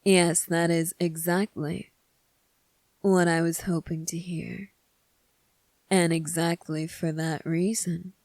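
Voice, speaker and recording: A woman playing a cunning, self-assured young prince in an ASMR roleplay, speaking in a measured, formal, teasing way. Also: fairly low pitch, flat delivery, a little mellow, no room sound. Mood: sly, pleased.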